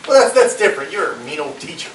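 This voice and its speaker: A man's voice: on the high side, sing-song, fast. An actor at a live table read, playing a brash but remorseful young man who talks casually and slangily. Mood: defensive, irritated. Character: brash young male slacker, remorseful self-confessed jerk. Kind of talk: live staged script reading. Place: live staged event in a room. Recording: roomy, distant microphone, faint noise.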